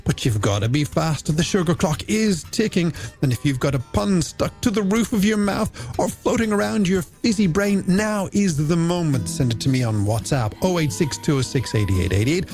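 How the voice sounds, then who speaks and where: Man, late-night radio host, playful flowery patter, animated intonation, crackly fry, studio mic, music underneath. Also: fairly high pitch, normal speed, few pauses. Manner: playful, flowery patter with punchy alliterative wordplay. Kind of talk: late-night music radio presenting, reading out listener messages. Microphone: studio microphone on a stand.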